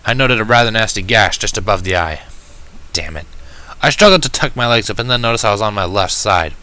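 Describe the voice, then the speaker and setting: A man reading a first-person story aloud with an expressive, wry, self-deprecating delivery. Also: lowish voice, fairly quick. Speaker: fan fiction reader.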